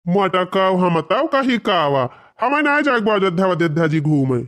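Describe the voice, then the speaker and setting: Cartoon husband, played by a man: high-pitched, Awadhi accent, stubborn village grumbler, dismissive and teasing. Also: sing-song, moderate pace, fairly bright tone. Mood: grumbling, dismissive. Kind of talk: comedy cartoon dialogue in village dialect.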